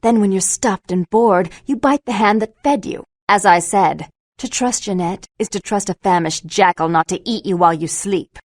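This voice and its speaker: A woman's voice: mid-range voice, no room sound, studio quality, close to an everyday voice. Woman acting a cold, imperious vampire sister. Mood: stern reproach. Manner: haughty, measured, disdainful. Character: prim, controlling, cold, imperious elder sister, self-righteous vampire baron. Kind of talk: dramatic video game voice acting.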